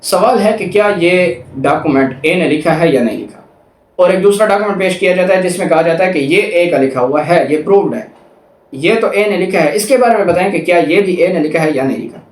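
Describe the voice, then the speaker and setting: A man's voice: high voice, lively intonation, brisk. A man with a Pakistani accent giving an explanatory law lecture in a didactic way, reading statutes aloud and rephrasing each point. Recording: echoey room.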